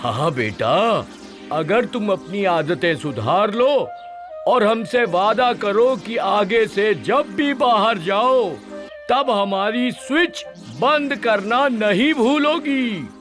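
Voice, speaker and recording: Talking fan for a kids cartoon, high voice, stern fatherly, draws words out, music behind. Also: animated, fairly bright tone, exaggerated voice. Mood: fatherly, advising. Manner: slow, drawn-out, theatrical delivery. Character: talking ceiling fan, male, stern but fatherly, on strike. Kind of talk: children's cartoon voice acting.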